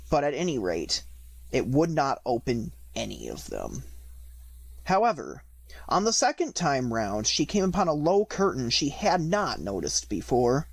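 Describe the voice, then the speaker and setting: Male storybook reader: expressive, animated melody, slightly high pitch, scripted narration. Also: dry recording. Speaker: audiobook reader.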